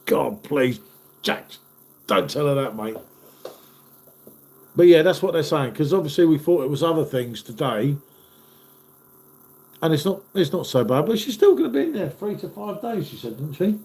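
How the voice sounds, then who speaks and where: A chatty man in his fifties hosts a live stream and reads out viewers' comments. His voice sits a little high, and a cold leaves him blocked up and nasal. He keeps calling everyone "mate". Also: very animated, normal speed, pauses often, a little mellow. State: stuffy nose from a cold.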